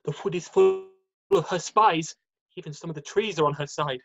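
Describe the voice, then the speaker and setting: An amateur performer voicing a gentle, nervous male faun with a hesitant, apologetic manner in a screenplay readthrough. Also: medium pitch, moderate pace, lightly acted. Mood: fearful, urgent. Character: nervous, guilt-ridden, gentle male faun, kindly host.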